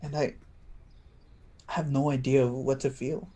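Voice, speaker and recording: A man's voice: medium pitch, level tone, dark tone, lightly acted. A man acting in a play reading as an anxious, tender, slightly tipsy man who stammers and hesitates. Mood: troubled. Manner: halting, stammering.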